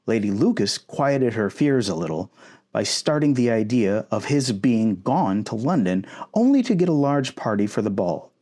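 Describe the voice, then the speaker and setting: A man reading a classic novel aloud as expressive storybook narration for an ASMR recording. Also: medium pitch. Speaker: audiobook-style narrator.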